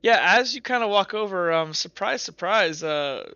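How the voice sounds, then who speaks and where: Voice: mid-range voice, expressive, normal speed, vocal fry, pushed and bright, clear, resonant tone, no room sound, lo-fi sound. A game master narrating a tabletop game casually, rambling with lots of fillers and hedges.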